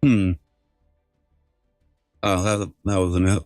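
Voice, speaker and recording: A man's voice: low voice, level tone, very slow, a little mellow, no echo, studio quality, faint music behind. A man hosting a casual livestream, chatting informally with some swearing and often repeating his phrases. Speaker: livestream host.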